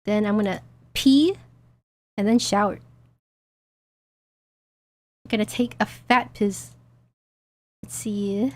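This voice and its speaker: A woman streamer with a hoarse, tiring voice, giggling and chatting casually with crude jokes as she plays. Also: medium pitch, takes it slowly, long pauses. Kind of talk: casual gaming stream chat.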